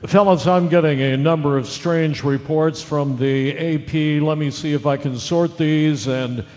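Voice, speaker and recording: A man's voice: on the high side, fairly even intonation, a little mellow, natural voice. A man playing an earnest, hesitant radio newsman delivering breaking news in an audio drama. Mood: worried. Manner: halting, careful, apologetic. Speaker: news reader. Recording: dull lo-fi audio with long reverb.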